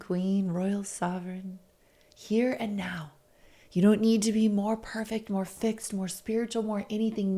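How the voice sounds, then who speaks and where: Mellow, soothing woman guiding meditation. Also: mid-range voice. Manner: soothing, deliberate, gently inviting. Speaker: guest meditation facilitator. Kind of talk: guided meditation.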